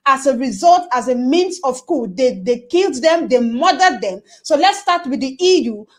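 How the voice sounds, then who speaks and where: A woman's voice: high voice, lively intonation, normal speed. A woman giving impassioned political commentary on a remote call, rallying listeners with emphatic repeated phrases. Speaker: guest commentator.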